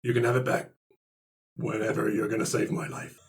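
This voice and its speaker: A man's voice: on the low side, level tone, a little fast. Playful man bantering over a tabletop game. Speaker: tabletop roleplaying player. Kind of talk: casual improvised in-character game chat.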